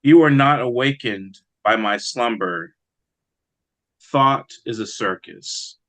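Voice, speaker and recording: A man speaking as an interviewed poet, in a reflective, halting conversational way. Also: medium pitch, a bit bright, no room sound. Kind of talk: conversational interview with brief poem reading.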